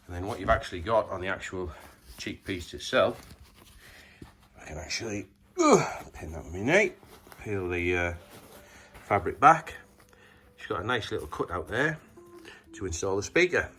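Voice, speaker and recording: Very low-voiced man giving a tutorial. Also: very animated, moderate pace, bright, forceful tone, breathy edge. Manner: matter-of-fact, hesitant, talking while working with his hands. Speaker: presenter of a DIY installation tutorial. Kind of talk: casual unscripted how-to demonstration.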